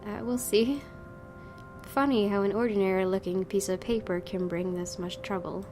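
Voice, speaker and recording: A woman doing a casual let's play, reading a visual novel aloud and commenting in an expressive way. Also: mid-range voice, moderate pace. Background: game music with guitar.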